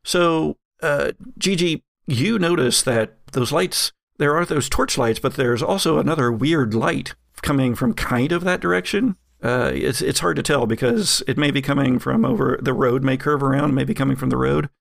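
A man's voice: mid-range voice, moderate pace, gravelly, few pauses. A man narrating as game master in a casual tabletop game, with many fillers and laughs.

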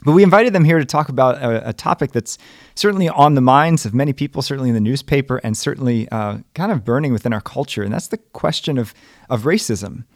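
Priest hosting a podcast in a dry room. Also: male voice, medium pitch, lively intonation, quick pace, dry recording. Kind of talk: podcast interview.

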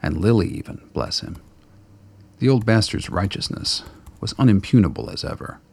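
A man narrating a radio play in a scripted, dramatic storytelling style with a gravelly, husky voice. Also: fairly low pitch, big pitch swings, moderate pace, a little mellow.

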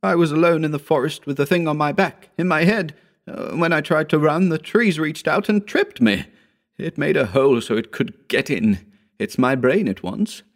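A man performs a deranged victim in a horror story, his voice pushed very high. He babbles and repeats himself in terror, close to sobbing. The delivery is frantic and despairing. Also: normal speed, natural voice. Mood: raving terror. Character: deranged, terrified man raving in agony and despair. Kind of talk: dramatic audiobook horror reading.

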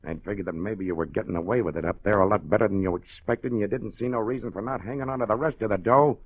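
Husky, menacing radio crime boss. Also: male voice, fairly low pitch, quick pace. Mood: coolly menacing. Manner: streetwise, slangy, needling. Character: tough, menacing male crime boss plotting murder. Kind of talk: 1940s radio crime drama acting. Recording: muffled, thin old radio broadcast.

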